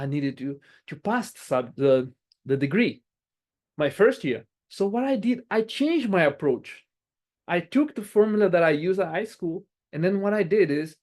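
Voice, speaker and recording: A man's voice: sits high, darkish tone. A man from Angola whose first language is Portuguese, giving an earnest prepared speech at a speaking club and often repeating or restarting phrases.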